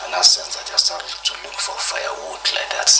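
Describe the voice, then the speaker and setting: A middle-aged man with a Nigerian accent giving a halting, step-by-step eyewitness account, often quoting what was said. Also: sharp tone, whispered, far from the mic. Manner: halting step-by-step storytelling with quoted speech. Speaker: interviewee, kidnapping survivor.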